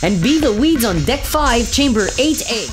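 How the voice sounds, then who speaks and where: A woman plays a commanding, shady starship captain in a sci-fi radio play. The voice is fairly high and very animated, with music clearly behind it. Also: moderate pace. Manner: commanding, listing rules. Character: bossy male drug-ring starship captain.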